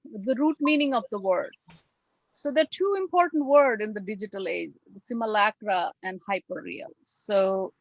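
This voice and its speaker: A woman's voice: mid-range voice, no echo, dull audio. Reflective Bengali-accented woman lecturing on a call. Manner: reflective, with frequent fillers and pauses. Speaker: guest lecturer. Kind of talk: academic talk on a video call. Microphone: video call.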